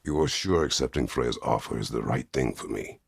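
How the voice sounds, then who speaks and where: An actor playing a stern, weary, middle-aged Greek war god in a video game, speaking tersely and formally with a gravelly, husky voice. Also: male voice, a bass voice, expressive, a little fast, dry recording, studio quality, heavily acted. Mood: stern, brooding. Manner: terse, grave, formal phrasing without contractions. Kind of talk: dramatic video game voice acting.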